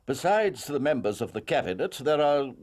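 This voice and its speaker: An actor playing a stern, imperious Prime Minister with an upper-class English accent, weighty and formal, in a period drama. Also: male voice, mid-range voice, big pitch swings, normal speed, a little mellow, breathy edge. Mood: grave, guarded. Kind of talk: British period detective drama acting.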